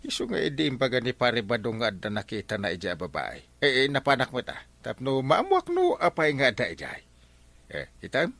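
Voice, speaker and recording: A man's voice: mid-range voice, wildly swooping pitch, a little fast, gravelly, fairly bright tone, no echo, full character voice. A man with an Ilocano accent playing a bumbling, easily flustered village captain in a comedy drama, hesitant and stammering. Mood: concerned.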